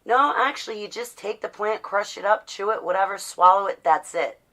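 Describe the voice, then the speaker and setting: A woman's voice: medium pitch, moderate pace, a bit bright. A woman in her forties with an American accent talking casually and bluntly, rambling in a defiant way with rhetorical questions. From New Jersey, United States. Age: 40s. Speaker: vlogger. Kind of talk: casual rambling personal talk.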